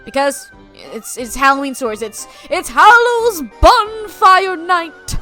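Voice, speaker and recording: A woman's voice: extremely high pitch, animated, takes it slowly, over loud music. A woman hosting, giving an exuberant, sing-song, theatrical introduction to her viewers. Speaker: host.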